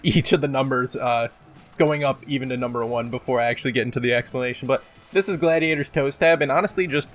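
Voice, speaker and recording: A man's voice: fairly high pitch, a bit bright. A man casually hosting a gaming countdown video, talking in a joking, exaggerating way with some swearing. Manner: belts out game voice lines. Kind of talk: casual top-ten countdown commentary.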